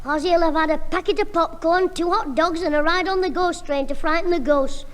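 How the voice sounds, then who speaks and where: Cheeky scheming Northern English lad, piping high voice, old radio sitcom, faint hiss. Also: brisk, fairly bright tone. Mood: cheeky wheedling. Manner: cheeky, glib, Northern colloquial phrasing. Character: cheeky scheming schoolboy, quick with excuses. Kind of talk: 1960s radio comedy acting. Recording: hissy old radio comedy, reverberant hall.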